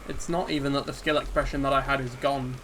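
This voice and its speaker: A man giving an unscripted, subdued and hesitant rant about a game, sounding dejected and pausing often on ums. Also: mid-range voice. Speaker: gaming video creator. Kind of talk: unscripted solo rant to camera. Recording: steady background ambience hiss.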